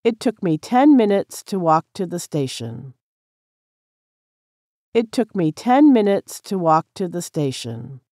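Slow, careful woman reading drill sentences. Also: fairly low pitch, sing-song, a little mellow, no room sound, studio quality. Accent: American. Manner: clear, careful, each sentence said three times. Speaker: English practice reader.

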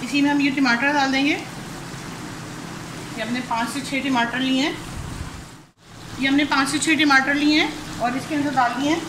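A woman with a Pakistani Urdu accent and a high voice calmly walks through a recipe step by step. She is recorded on a camera mic over the stove in a roomy, echoing kitchen. Clear sizzling and frying sound behind her. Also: a little slow, pauses often. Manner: calm step-by-step explaining. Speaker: cooking channel host. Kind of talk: cooking demonstration voice-over. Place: home kitchen. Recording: echoey kitchen with sizzling noise.

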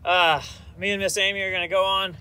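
A man's voice: sits high, little pitch movement, fairly quick, bright, forceful tone, clear, resonant tone. A man in his forties hosting a children's devotional outdoors in a casual, playful mock-serious way, speaking to a camera a few metres away. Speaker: kids' devotional host, coach. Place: outdoors by a rocky bank.

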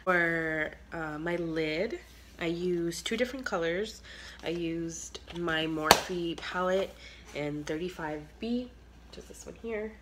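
A woman's voice: lowish voice, slow pace, background noise. A young woman with a stuffy, congested nose chatting through a makeup tutorial, filler-heavy and trailing off into near whispers, filmed on a phone in a small bedroom. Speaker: beauty vlogger. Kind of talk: casual makeup tutorial chat. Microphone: phone camera at arm's length.

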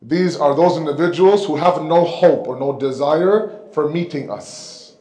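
A man delivers a religious lecture in a rather high voice. He speaks emphatically, repeating phrases for weight and posing rhetorical questions. The sound is noticeably echoey, picked up on a microphone in a hall. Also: measured pace, warm tone. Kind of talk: sermon lecture. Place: indoor mosque hall, seated at the pulpit. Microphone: microphone on a stand close in front.

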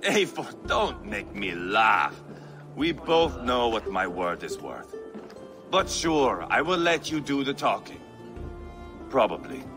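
A brutal scarred Viking warlord, crude and mocking, his voice swinging widely in pitch and dragging out slow. He speaks in a long-echoing hall over a strong game score. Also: sing-song, music in the background. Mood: sneering. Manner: crude, taunting, sneering.

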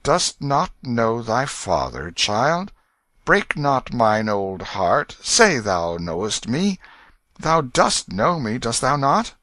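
A man voicing a stern old king turned gentle, anxious father in an audiobook, with stately, coaxing archaic speech. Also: mid-range voice, very animated, takes it slowly, dry recording, pitched above the performer's own voice. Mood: tender pleading. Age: older. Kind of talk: audiobook character voice.

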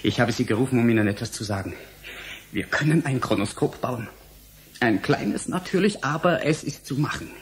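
A man's voice: mid-range voice, lively intonation, moderate pace, natural voice. A man playing an earnest, blunt young scientist in a German radio drama, explaining things emphatically and impatiently. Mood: firm, explaining.

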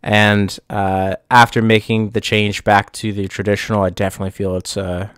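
Low-voiced man getting over the flu. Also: clean tone, no echo, studio quality. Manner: relaxed, thinking aloud with frequent pauses and restarts. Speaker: YouTube channel host. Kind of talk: casual gameplay commentary over screen recording.

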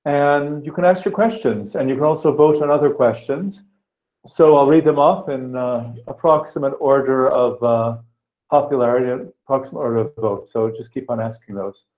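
A man's voice: medium pitch, moderate pace. A man hosting an online meetup, giving plain instructions and reading out questions on a headset video call. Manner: plain procedural instructions with fillers. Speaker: meetup host. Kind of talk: moderating Q&A. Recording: echoey dull video call audio.

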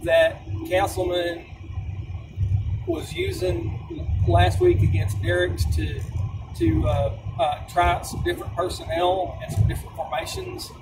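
A high-pitched man talks casually from a little way off the mic. He explains things haltingly, circling back over his phrases, under loud car and road noise. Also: very animated, vocal fry, distant mic. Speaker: companion on a road trip. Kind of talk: casual chat. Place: inside a moving car.

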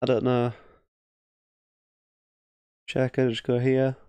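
A man's voice: mid-range voice, monotone, slow pace, warm tone, clean tone, no echo. A man with an English accent giving casual, thinking-aloud game commentary as a chess streamer, often muttering half-finished thoughts. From England.

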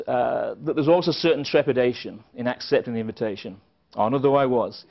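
A middle-aged man from England, giving a keynote speech at a conference and telling stories with dry, wry asides. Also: mid-range voice. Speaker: conference speaker. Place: conference hall with a seated audience. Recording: dull, lo-fi sound.

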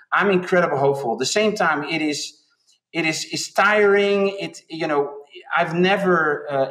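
A man's voice: fairly high pitch, a bit bright. Brief: middle-aged man, thoughtful business interview, distant echoey call audio. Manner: reflective, halting with restarts. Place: home room indoors. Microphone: laptop on a video call.

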